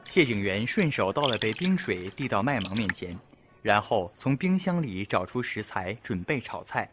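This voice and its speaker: A man's voice: fairly low pitch, sing-song, moderate pace. A man reading scripted narration for an audio drama. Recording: music playing behind.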